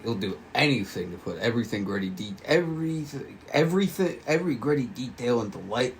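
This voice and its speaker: Man playing a creaky-voiced gossiping cop, slightly noisy. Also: medium pitch, animated, a little fast, lightly acted. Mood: wary, scornful. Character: lazy, gossiping male police officer, sentimental and scornful. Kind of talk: amateur table read of a script. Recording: noisy room recording.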